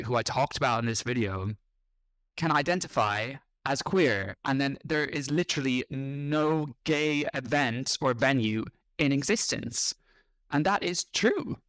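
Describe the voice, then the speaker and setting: A man's voice: medium pitch, a bit bright, clean tone, no echo. An Irish man in his thirties, a podcast host reacting to clips in a blunt, combative and sarcastic way. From Ireland. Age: 30s.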